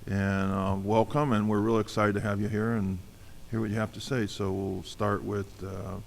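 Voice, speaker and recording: A man, a senator chairing a public hearing in a halting, informal way. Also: lowish voice, flat delivery, gravelly, a little hiss or hum. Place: government hearing room.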